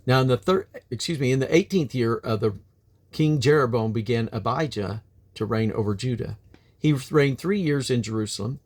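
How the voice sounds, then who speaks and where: A man reading from the King James Bible in a solemn, deliberate manner, with emphatic phrasing and drawn-out endings. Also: mid-range voice, darkish tone. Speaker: Bible reader.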